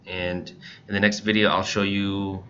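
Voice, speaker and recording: A man narrates a casual how-to tutorial in a fairly low voice. His tone has a bright, forceful edge. The recording is somewhat dull and lo-fi. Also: flat delivery, measured pace. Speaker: software tutorial presenter. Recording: dull lo-fi mic, background noise.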